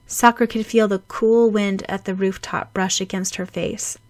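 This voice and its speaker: A woman narrating fan fiction as an audiobook, with a dramatic, animated delivery. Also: mid-range voice, moderate pace, dry recording.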